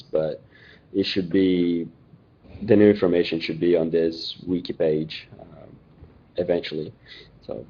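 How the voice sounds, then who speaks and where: A man with a very deep, raspy voice, hoarse from a cold. He gives a meeting update haltingly, with lots of fillers. The sound is dull and muffled, like a web call. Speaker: Firefox OS support contributor.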